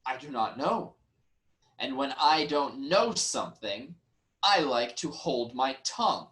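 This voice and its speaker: A man playing a wronged, reasoning nobleman in a formal, measured reading of ancient Greek tragedy. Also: medium pitch, lively intonation, fairly bright tone. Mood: reasoning, defensive. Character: wronged, reasoning nobleman, brother-in-law of the king.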